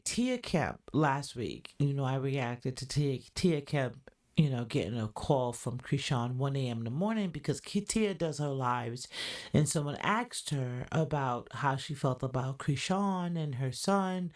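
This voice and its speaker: Deep-voiced woman podcast host. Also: darkish tone. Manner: chatty, hedging with "allegedly" and "in my opinion". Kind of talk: casual reaction commentary.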